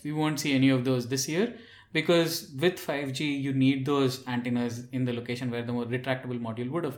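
A man's voice: medium pitch, echoey room. A man in a casual tech discussion, talking in an explanatory manner as a podcast panelist.

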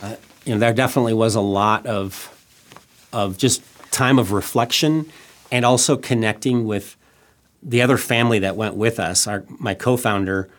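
A man's voice: lowish voice, moderate pace. A middle-aged man raised in Thailand, a guest on a podcast who answers reflectively with frequent restarts. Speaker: guest, nonprofit CEO. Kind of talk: podcast interview.

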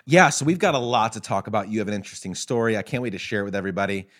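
Male podcast host, deep voice, upbeat and chatty, recorded in an apartment. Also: lively intonation, fairly bright tone. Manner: upbeat, chatty, quick asides. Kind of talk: casual interview chat. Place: apartment in Nashville.

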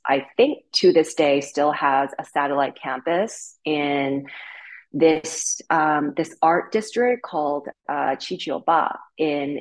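A woman in her thirties with a Canadian accent tells her story as an interview guest. Her voice sits low, and she talks in a loose, candid way, leaning on fillers like "like" and "you know.". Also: low-quality recording. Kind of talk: casual conversational storytelling.

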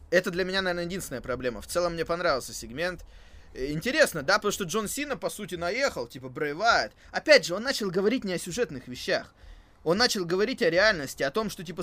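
A man's voice: high voice, sharp tone, no echo. A man co-hosting a podcast in casual chat, thinking aloud with hesitations and repeated phrases. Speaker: co-host of a wrestling podcast.

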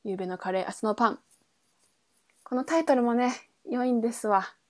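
A woman's voice: fairly high pitch, brisk. A woman co-hosting a radio-style podcast in a casual, conversational style. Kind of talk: casual conversational book chat.

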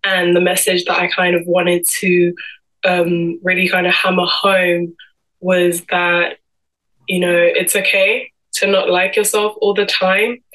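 A woman's voice: lowish voice, level tone, measured pace, fairly bright tone, rough recording. A woman in her early twenties with a London accent, giving a reflective personal talk in a vlog, feeling her way through her phrases. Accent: London English. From London, England. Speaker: guest speaker giving a personal talk to camera.

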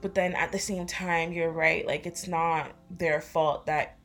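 A woman's voice: fairly low pitch, fairly even intonation, a little slow, fairly bright tone, faint music behind. Blunt young woman, Toronto accent. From Toronto, Canada. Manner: blunt, slangy, heavy use of "like". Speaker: podcast co-host. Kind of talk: casual chat.

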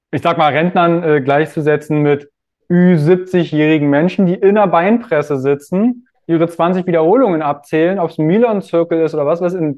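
Male podcast host, kinda high voice, thinks as he talks, casual, lo-fi audio. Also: darkish tone. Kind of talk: casual interview talk. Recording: dull, lo-fi audio.

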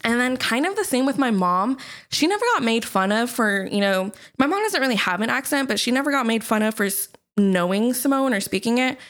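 A woman's voice: a little high, lively intonation, fairly quick, a bit bright. A young woman from the United States co-hosting a podcast in casual chat, laughing often and leaning on fillers like "like" and "you know". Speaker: podcast co-host.

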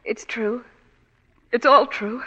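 An actress playing a scheming, seductive woman who pleads in a breathy way, in 1940s radio acting. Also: a little high, steady tone, slow pace, sharp tone, exaggerated voice. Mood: desperate. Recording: dull 1940s radio broadcast recording.

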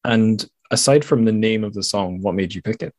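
A man with a somewhat low voice hosts a podcast. He talks in a casual, conversational way, as in a relaxed interview with a guest. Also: fairly quick. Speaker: podcast host.

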